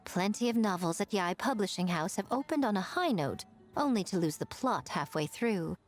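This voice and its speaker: A woman voicing a poised, teasing, shrewd woman of authority in a video game, measured and playful. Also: mid-range voice, animated, soft background music, lightly acted. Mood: amused, knowing. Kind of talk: English video game voice acting.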